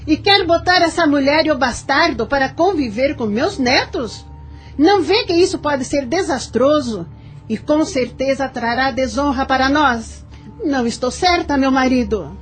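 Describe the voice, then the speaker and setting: Haughty, disapproving society mother played by a woman, high pitch, indignant delivery, audio drama over faint music. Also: expressive, moderate pace.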